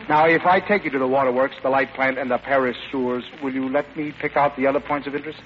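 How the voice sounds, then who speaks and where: An actor playing a suave, playful romantic gentleman, urbane and teasing, in an old-time radio drama. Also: mid-range voice, steady tone, quick pace, lightly acted. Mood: coaxing, lively. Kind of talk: 1940s-style radio play acting. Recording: muffled, noisy old radio broadcast.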